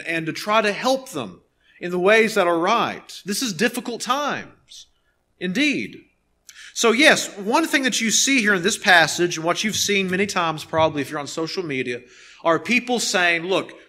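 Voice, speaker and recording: A man in his thirties preaching a sermon as a pastor, earnest and emphatic, leaning on pauses and repeated phrases. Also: high voice, bright, forceful tone. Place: nearly empty church sanctuary, behind a pulpit.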